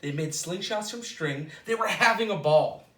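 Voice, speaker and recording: A man reads a children's picture book aloud as a storytime host. His voice is bright and forceful, and he stretches words for playful drama. It is recorded from a distance in an echoey space. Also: high voice. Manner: expressive, playful delivery with drawn-out dramatic words. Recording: roomy echo, distant microphone.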